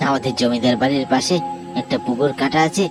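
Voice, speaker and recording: Guy doing an aggrieved young man from a horror cartoon, declaiming and insistent, music loud behind him. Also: mid-range voice, fairly even intonation, fast, close to an everyday voice. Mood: bitter, vengeful. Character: wronged young man, murdered, now a vengeful restless pond ghost. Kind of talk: Bengali horror cartoon dialogue.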